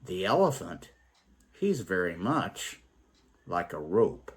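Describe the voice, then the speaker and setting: A man acts out a cocksure blind man from a storybook fable, grandly declaring his certainty. The delivery is pompous and drawn out, leaping high in pitch, with a husky edge. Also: very animated, slow, full character voice. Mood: firm certainty. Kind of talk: theatrical poem recital.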